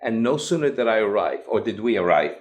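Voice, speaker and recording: A man from Lebanon with an English accent, a guest recounting his past in an interview with animated, emphatic delivery. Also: on the low side, a little fast. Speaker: guest, evangelist. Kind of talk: interview storytelling.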